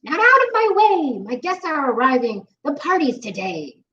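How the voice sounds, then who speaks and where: A woman's voice: high voice, big pitch swings, moderate pace, fairly bright tone. A woman doing a grumpy storybook witch who barks orders and scolds. Her voice swings sharply up and down. It is recorded in a roomy space, slightly dull. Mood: bossy. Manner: snappish and scolding. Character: bossy, grumpy female witch.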